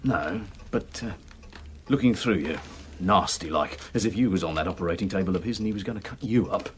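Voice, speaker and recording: An actor playing a gossipy working-class manservant in a radio drama, complaining colloquially over drinks. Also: male voice, low-pitched, fairly quick, slight background noise, lightly acted. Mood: grumbling.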